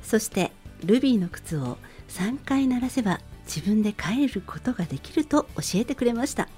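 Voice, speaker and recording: Expressive woman storyteller, mellow voice over music. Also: on the high side, normal speed. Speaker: podcast host and narrator. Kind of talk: scripted story synopsis read in radio-drama style.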